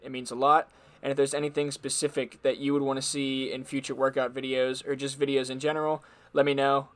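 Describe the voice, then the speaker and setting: A man in his twenties, a fitness vlogger giving a scripted voice-over about a workout. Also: medium pitch. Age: 20s. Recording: loud music behind the voice. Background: music.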